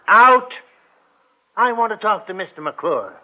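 A man's voice: high-pitched, expressive, unhurried. An actor playing an earnest, gullible small-town man in an old-time radio drama, speaking emphatically. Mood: firm, angry. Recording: thin, phone-like old radio recording.

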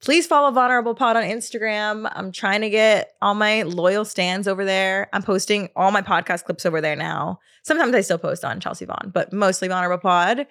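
A woman's voice: medium pitch, fairly quick, few pauses, a bit bright. Chatty woman in her thirties. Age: 30s. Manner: chatty, laughs often, trails off with "like" and "um". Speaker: podcast host. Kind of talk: casual solo podcast chat.

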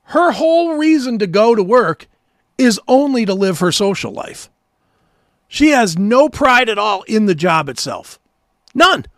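A man's voice: sits high, very animated, a little slow, a bit bright, no echo, studio quality. A middle-aged American man co-hosting a talk show, chatting casually and bluntly with strong opinions and some swearing.

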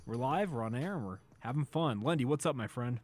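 A man's voice: medium pitch, expressive, a little fast, a little mellow, soft background music. A man hosting a sports radio show in an upbeat, informal, chatty way. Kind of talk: casual sports talk radio chat.

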